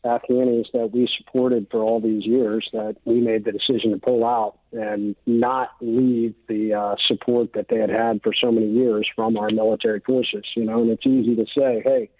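A man's voice: mid-range voice, little pitch movement, normal speed, few pauses, no echo. A middle-aged man with an American accent gives his opinions as an interview guest, speaking earnestly in an emphatic, conversational way. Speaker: interview guest, military veteran. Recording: dull lo-fi radio-like sound.

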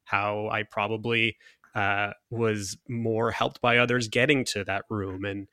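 A man with a deep voice, talking thoughtfully in a casual conversation, with frequent restarts. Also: flat delivery, a little slow, bright, forceful tone, no echo, clean studio sound. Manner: thoughtful, restarts often, reads quotes aloud.

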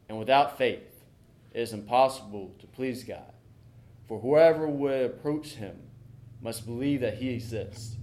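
Young adult male preacher, church sermon and scripture, unhurried deliberate phrasing, mid-range pitch. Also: a little mellow. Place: church sanctuary during a service.

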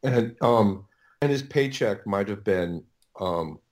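Halting, low-voiced middle-aged American man. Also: lively intonation, darkish tone. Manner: halting, rambling, self-correcting. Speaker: audiobook producer, podcast guest. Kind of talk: casual interview storytelling.

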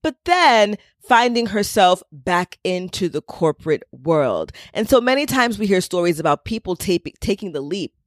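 A woman hosts a casual interview podcast, with a lower voice that swings widely in pitch. She is friendly and laughs easily with her guest. The sound is dry and close. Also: big pitch swings, moderate pace, hardly any pauses, no room sound. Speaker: podcast host.

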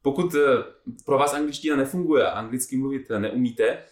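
A man's voice: medium pitch, brisk, reverberant. A man from the Czech Republic with a Czech accent, a native Czech speaker, introducing a podcast as its host.

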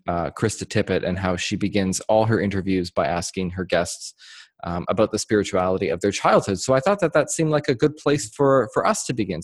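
A man hosting a faith podcast interview, friendly and a little hesitant with fillers. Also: lowish voice. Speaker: podcast host.

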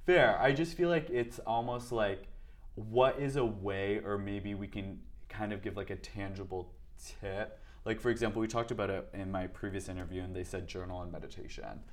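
A man hosting a podcast, asking rambling questions in a chatty, expressive way. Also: on the low side, moderate pace, a little hiss or hum. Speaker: podcast host. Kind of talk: casual interview chat.

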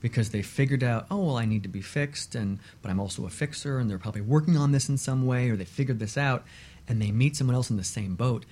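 A man's voice: mid-range voice, fast, a little mellow. A man speaking as a guest expert in a conversational radio interview, explaining things and acting out hypothetical exchanges.

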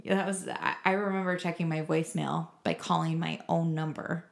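Female podcast co-host, lowish creaky voice, casual chat, echoey room sound. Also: flat delivery, normal speed. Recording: roomy, echoing sound.

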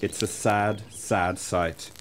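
A man with a fairly low voice narrates a comedy radio play. He tells it with mock gravity, over clearly audible ambient noise. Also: steady tone, takes it slowly, fairly bright tone. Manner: mock-serious storytelling.

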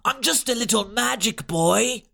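Grumpy tiny schoolboy wizard voiced by a man, whiny, grumbling, high voice, storybook reading. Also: unhurried, gravelly, sharp tone, full character voice. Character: tiny magic schoolboy, grumpy and put-upon.

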